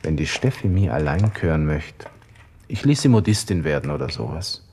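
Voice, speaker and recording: An actor playing a self-pitying, vain young army lieutenant with a Viennese accent, muttering an interior monologue to himself in a radio play, by turns flippant and sentimental. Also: male voice, very deep voice, very animated, fairly quick, a little mellow, a little hiss or hum, heavily acted. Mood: brooding, flippant. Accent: Viennese Austrian. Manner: brooding, talking to himself, shifting between flippant and sentimental.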